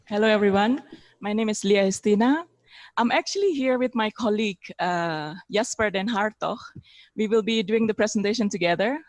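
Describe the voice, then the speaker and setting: A woman introducing herself and her work at a conference, hesitant, with many fillers, trailing off quietly at the end. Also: medium pitch, normal speed, no echo. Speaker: program manager presenting.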